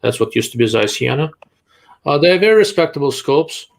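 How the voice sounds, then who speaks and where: Blunt Russian-accented man, gravelly voice. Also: a little high, lively intonation, a little fast. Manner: blunt, dryly sarcastic. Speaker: host. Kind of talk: live-stream chat and Q&A.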